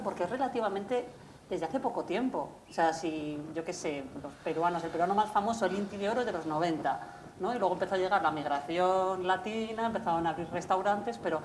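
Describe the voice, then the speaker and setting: A woman moderator leads a casual festival panel chat, eager and talkative, stumbling over her restarts. Her voice sits a little low. The echoey room carries a faint hum of background noise. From Madrid. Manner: chatty, enthusiastic, many restarts. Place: festival stage.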